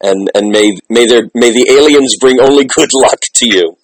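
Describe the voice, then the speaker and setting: A man hosting a casual interview, effusive and halting, often repeating his words as he goes. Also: mid-range voice, no echo, poor audio. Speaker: interviewer, host.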